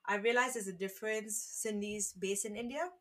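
A woman's voice: mid-range voice, fairly even intonation, normal speed, fairly bright tone. A woman from Malaysia, a podcast guest, talking earnestly and rambling with "you know" and restarts. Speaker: podcast guest, founder of an online community page. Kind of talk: casual conversational interview.

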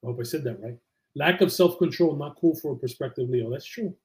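A man's voice: a little high, a little fast, a little mellow. A man co-hosting a casual live chat show, tossing in colloquial interjections and reading out viewer comments.

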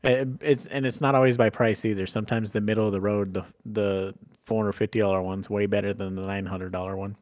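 A man's voice: on the low side, fairly even intonation, a little fast, hardly any pauses, a little mellow, dry recording, studio quality. An American man with a blunt, sweary manner, chatting casually as a podcast host. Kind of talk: casual chat.

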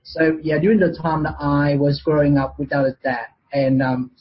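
Vietnamese-accented man, hesitant and husky. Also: fairly high pitch, fairly even intonation, normal speed, dull audio. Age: 40s. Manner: hesitant, lots of fillers and restarts. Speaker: guest. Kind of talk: casual interview.

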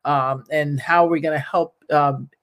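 A show host running a live interview, chiming in with steady "mm-hmm"s and "wow"s while the guest talks. Later the host turns to reading viewer greetings and comments. The voice sits at a middle pitch. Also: unhurried. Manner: affirming, frequent backchannels. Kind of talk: livestream interview.

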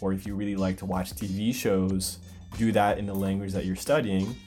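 A man from the United States hosting a casual language-learning podcast, explaining things patiently with clear examples. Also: deep voice, flat delivery, moderate pace, warm tone, faint music behind. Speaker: podcast host. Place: lives in Brazil.

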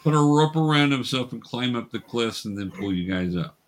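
A man's voice: medium pitch, animated, a little fast, noisy background. Male game master, improvised narration, patient explainer who encourages the players.

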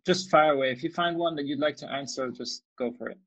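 A man from Austria hosts a relaxed podcast conversation. His voice is a bit husky and his manner is agreeable and easy. He often answers with short, friendly replies. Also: on the high side, fast talker, highs cut off. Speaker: podcast host. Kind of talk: casual chat.

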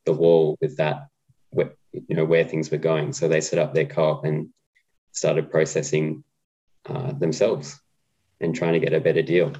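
Aussie guy with a super low flat voice, lots of ums, sounds a bit muffled and lo-fi. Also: unhurried, husky. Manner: relaxed, hesitant with many ums. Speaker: guest, co-op policy adviser. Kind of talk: casual interview chat.

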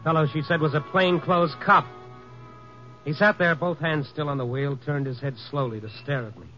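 An actor playing a wry, world-weary newspaper reporter in a 1950s radio noir style, with wisecracking delivery. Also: male voice, fairly high pitch, moderate pace, sharp tone, soft background music. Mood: tense, narrating. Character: wry, hard-boiled night-beat newspaper reporter. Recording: muffled vintage 1950s radio recording.

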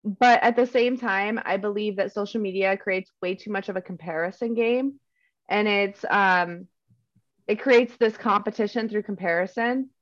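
A woman's voice: medium pitch, moderate pace, dry recording, lo-fi sound. A young woman chatting earnestly and eagerly, often saying "um" and "like". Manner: earnest and enthusiastic, with many "um"s and "like"s. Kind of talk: casual podcast chat.

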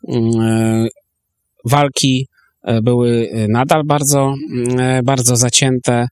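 A male historian explains events slowly and haltingly, often hesitating at length as he searches for the next phrase. His pitch is medium and the recording is dry. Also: flat delivery, a little mellow, dry recording. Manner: very halting, frequent long hesitations. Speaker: historian guest. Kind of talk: unscripted expert explanation.

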